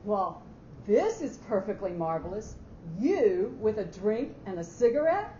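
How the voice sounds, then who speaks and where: A woman's voice: mid-range voice, sing-song, a little slow, mellow. A woman playing a brash, haughty and indignant office efficiency expert in a staged dramatic reading of a short story. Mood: haughty disapproval. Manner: haughty, sarcastic, emphatic. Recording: roomy, muffled live hall recording with hiss.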